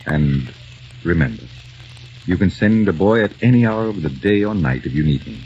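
A low-voiced, kindly family doctor. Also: male voice, lively intonation, moderate pace. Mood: concerned, kindly. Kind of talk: 1940s radio acting. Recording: muffled, hissy old radio recording.

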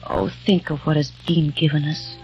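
A tender young ghost-love. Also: female voice, sits low, animated, moderate pace. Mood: tender farewell. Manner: tender, earnest, poetic. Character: young 18th-century woman ghost-lover, tender. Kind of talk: 1940s radio drama acting. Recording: dull lo-fi old radio recording with music.

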